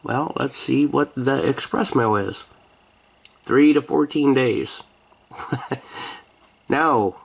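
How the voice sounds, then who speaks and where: American man with a creaky voice, chatting. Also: mid-range voice, slow, pauses often. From United States. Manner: wry, joking, sarcastic. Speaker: vlogger. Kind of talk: rambling casual chat.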